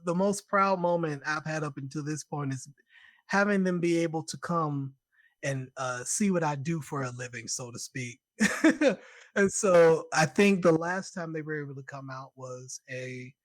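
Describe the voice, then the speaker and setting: A man from Alabama with a slightly raised voice, chatting as a guest over a video call. He tells his stories in an animated way, laughing often and breaking into excited quoted voices. The sound is dry and close. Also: a little high, a little slow, no room sound. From Birmingham, Alabama. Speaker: guest, concert composer. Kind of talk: casual conversation.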